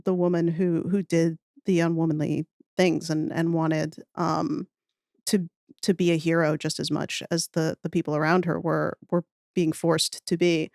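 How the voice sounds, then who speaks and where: A woman with a slightly low voice speaks casually as a podcast guest, close and dry with no room sound. She is thoughtful and wry, with a nerdy enthusiasm for what she discusses. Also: little pitch movement, measured pace, gravelly, a little mellow, no room sound. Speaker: podcast guest, audio fiction writer. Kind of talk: casual interview conversation.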